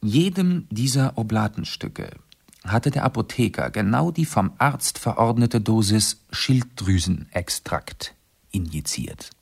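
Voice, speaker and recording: An actor playing an urbane, self-assured aristocratic amateur sleuth in a German radio play, explaining precisely and theatrically. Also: male voice, lowish voice, animated, a little slow, vocal fry, slightly rough, full character voice. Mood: composed, satisfied.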